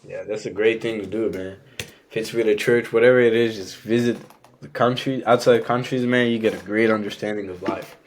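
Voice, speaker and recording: A man's voice: fairly low pitch, steady tone, brisk, slight background noise. A young man from Rwanda, a French and Rwandan speaker, chatting easily as a podcast guest with lots of "you know what I'm saying.". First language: a Rwandan language; also French. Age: young adult. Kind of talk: casual conversation.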